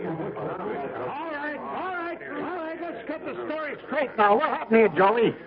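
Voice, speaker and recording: A man playing a brisk, skeptical police sergeant questioning people in an old-time radio drama. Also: extremely high pitch, very animated, full character voice. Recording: tinny old radio recording, crowd murmur.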